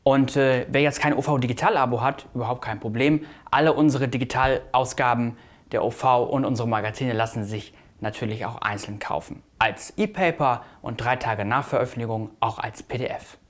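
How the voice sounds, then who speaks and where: A man's voice: medium pitch, normal speed. A young man giving a semi-casual explanatory presentation to viewers as a newspaper staff presenter. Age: young adult. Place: indoor video studio. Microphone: lapel mic.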